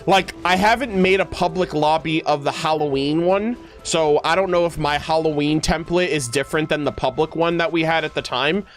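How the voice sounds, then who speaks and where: A man giving casual livestream commentary, rambling and halting as he thinks aloud. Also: on the high side. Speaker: streamer. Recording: music playing behind voice. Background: music.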